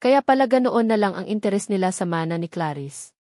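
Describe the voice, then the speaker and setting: A woman's voice: medium pitch, animated, brisk, mellow, dry recording, studio quality, natural voice. A woman voicing an anxious, shocked young woman earnestly questioning for the truth in a dramatic story. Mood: urgent questioning.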